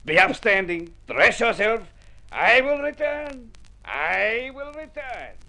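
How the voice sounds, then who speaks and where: An actor playing a fussy, excitable Latin American mayor and father in an old radio drama, effusive and exclamatory, with a stage Spanish accent. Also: extremely high pitch, slow pace, exaggerated voice. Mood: urgent, excited. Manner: effusive, exclamatory, chuckling host.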